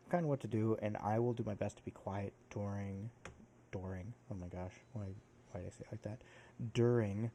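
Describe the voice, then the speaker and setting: A man's voice: lowish voice, dark tone, a little hiss or hum. A man hosting a casual let's play, rambling and correcting himself as he explains the game, a bit sniffly from allergies. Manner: rambling, self-correcting, lots of fillers. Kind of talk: casual gameplay commentary.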